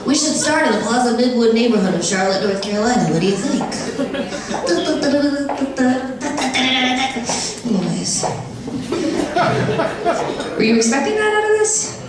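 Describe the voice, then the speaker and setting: Brief: young female comic, dry and self-deprecating, a bit high, echoey club from far off. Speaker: stand-up comedian. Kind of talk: stand-up comedy set. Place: comedy club stage. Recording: echoey room from far off, crowd noise.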